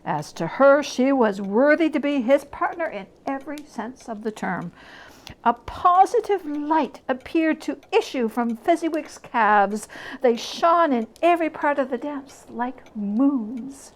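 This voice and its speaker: A woman storyteller with a fairly high voice, reading very slowly and deliberately. She shapes each phrase with expressive, dramatic emphasis, as in a classic tale read aloud. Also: rough recording.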